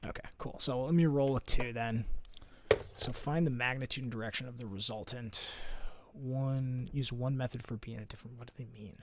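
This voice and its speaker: A man's voice: mid-range voice, steady tone, brisk, a little hiss or hum. A man tutoring physics over a screen share in a casual way, thinking aloud with lots of fillers and restarts. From United States.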